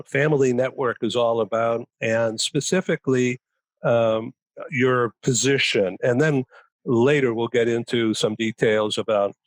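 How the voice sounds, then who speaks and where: A man's voice: medium pitch, no echo. Man hosting a video-call panel. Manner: friendly, brisk introductions with fillers. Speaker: talk show host.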